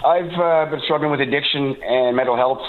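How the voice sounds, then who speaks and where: A man in his sixties from Toronto, calling in to a radio show, talking haltingly with many fillers and restarts, a bit congested from a cold. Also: mid-range voice. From Toronto, Canada. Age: 60s. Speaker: caller. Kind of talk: call-in radio talk. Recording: tinny phone line.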